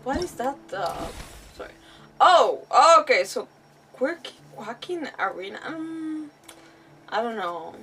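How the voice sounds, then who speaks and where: A woman game streamer chatting casually to her viewers in an animated, rambling, self-deprecating way. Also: a little high, takes it slowly. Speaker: game livestreamer. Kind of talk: casual livestream chat to viewers. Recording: distant mic, music playing behind. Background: background music.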